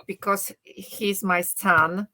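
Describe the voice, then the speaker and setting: A middle-aged woman, a university teacher speaking English as an in a relaxed interview, thinking aloud with many fillers and restarts and a joking tone. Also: medium pitch, slow pace. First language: Polish. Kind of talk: casual conversational English, non-native.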